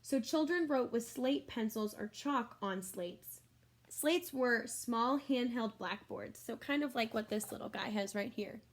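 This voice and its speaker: A woman's voice: a little high, a little slow. A woman schoolteacher reading a picture book aloud to children, expressively, with chatty explanatory asides to her young listeners.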